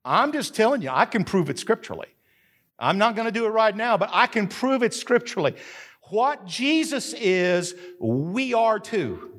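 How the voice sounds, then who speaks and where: A pastor in his fifties preaching with a lively, swooping voice that rides high for a man. He delivers his sermon from a church platform in an animated way, now and then breaking into a shout. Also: moderate pace. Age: 50s.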